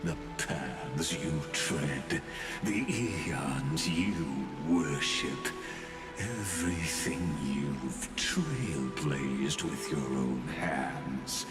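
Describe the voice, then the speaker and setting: An echoing, cavernous-low voice plays an ancient, grandiose game scholar. He delivers solemn, portentous monologues with deliberate patience. Loud music swells behind him. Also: expressive, heavily acted. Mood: probing, ominous. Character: ancient imprisoned male scholar, grandiose, ominous, patient philosopher. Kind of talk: theatrical scripted game monologue.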